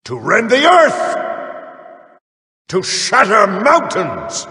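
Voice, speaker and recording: An actor playing a mighty, boastful Norse thunder god warrior in a video game, booming and theatrical, with old-fashioned heroic phrasing. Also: male voice, huge pitch swings, extremely slow, sharp tone, echoey room, big-room sound, full character voice. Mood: battle fervor. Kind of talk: video game character voice lines.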